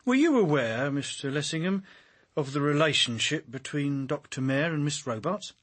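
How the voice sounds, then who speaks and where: An actor playing a composed police chief inspector in a radio crime drama, questioning politely and methodically. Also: male voice, on the high side, expressive, fairly bright tone. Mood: probing, polite. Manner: polite, probing, measured questioning.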